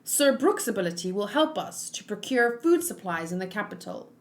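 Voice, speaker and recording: A woman's voice: medium pitch, big pitch swings, normal speed, close to an everyday voice. A woman voicing an earnest samurai man in a manga, with formal, old-fashioned phrasing.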